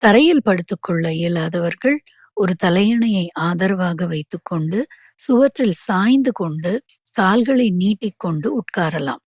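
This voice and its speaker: Slow, husky woman guiding relaxation. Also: lowish voice, no echo, clean studio sound. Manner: calm, measured, unhurried instructions with pauses. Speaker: yoga nidra meditation guide. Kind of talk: guided relaxation instruction.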